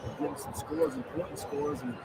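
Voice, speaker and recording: A man's voice: on the high side, fairly even intonation, a little fast. A man working as a radio color commentator, giving conversational basketball postgame analysis and repeating his points from an arena. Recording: live broadcast with loud crowd and noise. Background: loud arena crowd.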